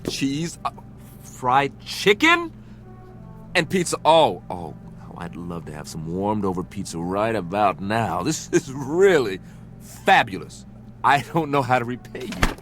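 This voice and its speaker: A man's voice: medium pitch, sing-song, a little slow, fairly bright tone. A man playing an eager, amazed American astronaut in a radio drama, exclaiming and stammering with wonder. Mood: amazed delight. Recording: music under the voice.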